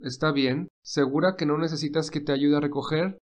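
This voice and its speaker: Man acting kind, gentle young man. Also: a little high, steady tone, normal speed, natural voice. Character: kind young man, teasing, hiding his nerves. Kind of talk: dramatized audio story.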